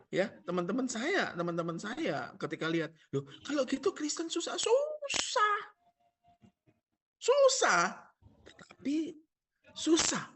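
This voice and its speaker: A man's voice: a little high, a bit bright. A male pastor with a Javanese accent preaching a sermon, asking the congregation rhetorical questions and joking.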